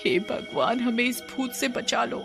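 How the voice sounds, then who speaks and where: Frightened wife, played by a woman, cartoon horror. Raised, pleading, anxious delivery over clearly audible music. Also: a little high, lively intonation, unhurried, a bit bright, voice pushed up, exaggerated voice. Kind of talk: animated horror story acting.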